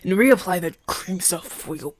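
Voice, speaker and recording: A man's voice: sits high, lively intonation, fairly quick, a little hiss or hum. A man playing a proud, impatient, flirtatious champion gladiator in pain, brusque and grumbling, in an ASMR audio roleplay. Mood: pained, demanding. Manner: brusque, demanding, grumbling, sighing through pain.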